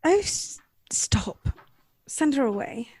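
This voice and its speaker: A woman's voice: high voice, big pitch swings, takes it slowly, a little mellow, no room sound, heavily acted. An actress playing an earnest, tender-hearted and naive young lady in an old-time radio drama, questioning and emotive in her delivery. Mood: distressed. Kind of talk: live recreation of 1930s radio drama.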